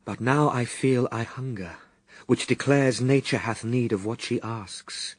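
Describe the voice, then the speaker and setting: An actor playing a hungry, steadfast Christ in a radio verse drama, musing in a measured, restrained, introspective way. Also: male voice, mid-range voice, dry recording, close to an everyday voice. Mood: pensive, resolute. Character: Christ, hungry, patient, steadfast man fasting in wilderness. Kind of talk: classical radio verse soliloquy.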